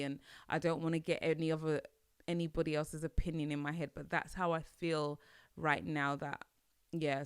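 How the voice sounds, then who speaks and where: A woman with a low, smooth, resonant voice hosts her own podcast, chatting casually and musing aloud with frequent restarts. She sounds young and relaxed. The recording is dry and close. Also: dry recording. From Nigeria. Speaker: podcast host. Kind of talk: casual solo podcast chat.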